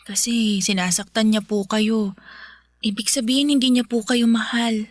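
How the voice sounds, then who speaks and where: A woman's voice: on the high side, steady tone, normal speed, dry recording, close to an everyday voice. An actress playing an innocent, questioning young schoolgirl with a Filipino accent, polite and earnest, in a radio drama. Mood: earnest, troubled.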